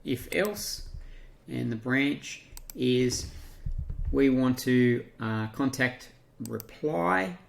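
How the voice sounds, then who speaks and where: Man, Australian accent, gravelly fry, average pitch, halting step-by-step software tutorial delivery. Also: expressive, a little hiss or hum. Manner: halting, step-by-step, thinking aloud. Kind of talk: unscripted screen-share walkthrough.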